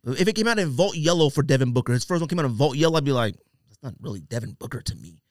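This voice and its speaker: A man's voice: mid-range voice, big pitch swings, rapid-fire, no echo, studio quality. A man casually debating as a podcast host, emphatic, blunt and slangy in his opinions.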